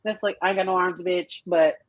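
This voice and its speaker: A woman explaining things emphatically and with salty language in an animated, casual group discussion on a podcast. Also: on the low side, fairly quick, dry recording, rough recording. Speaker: podcast panellist.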